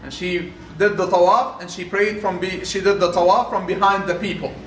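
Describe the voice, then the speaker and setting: A man in his thirties interprets into English at an unhurried, deliberate pace, restarting phrases as he searches for the right wording. His voice sits somewhat high and sounds distant, caught in a roomy, echoing space. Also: fairly even intonation. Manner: slow and deliberate, with restarts and fillers as he rephrases. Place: room seated at a desk. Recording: echoey room, mic at a distance, faint noise.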